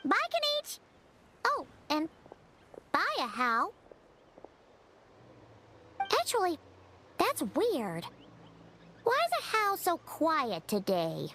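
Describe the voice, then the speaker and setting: A woman voicing a small, excitable childlike fairy companion in a video game, with a squeaky, dramatic delivery. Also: takes it slowly, long pauses, a little hiss or hum, exaggerated voice. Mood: puzzled. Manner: exaggerated, third-person self-reference, dramatic.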